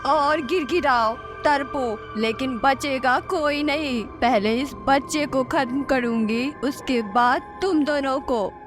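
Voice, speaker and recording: A woman's voice: high-pitched, takes it slowly, hardly any pauses, over music. A woman voicing a cruel, vengeful female ghost in a horror story, gloating with menacing taunts and evil laughter. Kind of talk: Hindi horror storybook voice acting.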